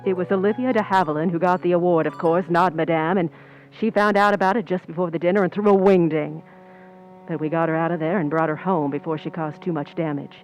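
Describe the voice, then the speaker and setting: A woman's voice: on the low side, steady tone, fast, dull audio, faint music behind, close to an everyday voice. A woman playing a cool, ambitious, scheming young Hollywood stand-in in an old-time radio suspense play, with hard-boiled, knowing delivery. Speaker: actress in a community playhouse radio play. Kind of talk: old-time radio suspense acting with first-person narration.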